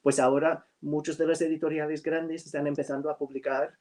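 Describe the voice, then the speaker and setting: A man whose first language is English, a writer in a casual chat over a video call, speaking slowly and hesitantly with many fillers. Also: on the high side, level tone, a little mellow. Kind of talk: casual conversation.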